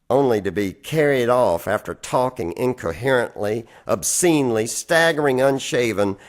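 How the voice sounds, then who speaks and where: A man reading poetry aloud in a dramatic recitation with measured, expressive phrasing. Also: mid-range voice. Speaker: poetry reader.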